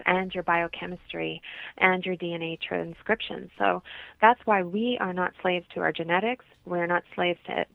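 A woman's voice: lowish voice, gravelly, dry recording. A woman interview guest explaining her holistic practice conversationally over a thin, phone-like line.

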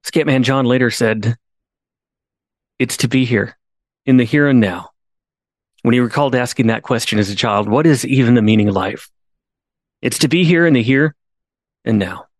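Male podcast host, mid pitch, earnest storyteller with emphatic rises, dry booth-close sound. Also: normal speed, pauses often, dry recording. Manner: earnest, repeats words when restarting, rises to emphatic pleas. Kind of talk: storytelling monologue.

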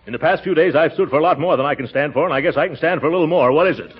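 A man's voice: on the high side, very fast, very noisy, close to an everyday voice. A man playing a pompous, stout middle-aged uncle, easily flustered, hemming his way through a 1940s radio comedy. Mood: flustered, exasperated. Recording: muffled old-time radio recording.

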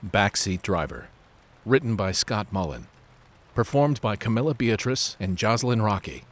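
A man's voice: lowish voice, animated, normal speed, a little hiss or hum. A man announcing titles and credits in a scripted style.

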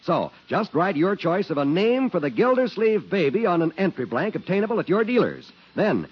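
An American man announcing in the style of a 1940s radio advertisement, with an urgent sales pitch. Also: husky. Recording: muffled 1940s radio recording.

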